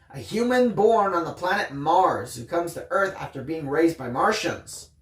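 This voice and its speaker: A woman's voice: on the low side, roomy sound, lightly acted. A woman reading a first-person audiobook as an earnest, bookish boy who secretly hunts aliens.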